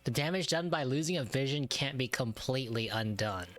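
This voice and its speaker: A woman's voice: extremely low pitch, expressive, fairly quick, soft background music. A woman gameplay streamer giving casual reactions while playing a video game.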